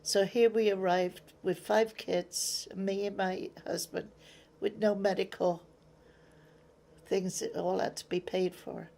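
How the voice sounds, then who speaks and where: Slow, gravelly older English woman. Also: mid-range voice, level tone, dark tone. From England. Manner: plain-spoken storyteller, acts out remembered exchanges. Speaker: interviewee. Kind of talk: recounting memories in an interview.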